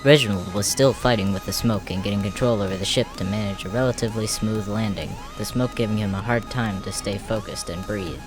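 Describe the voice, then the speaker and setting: A man's voice: lowish voice, fairly quick, few pauses. A man narrating a comic dub in a dramatic scripted storytelling style. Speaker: narrator and voice actor. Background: music.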